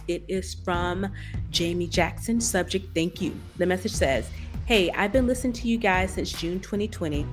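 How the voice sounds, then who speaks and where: A woman co-hosting a podcast in casual conversation, speaking informally and stressing her points by repetition. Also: mid-range voice. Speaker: podcast co-host.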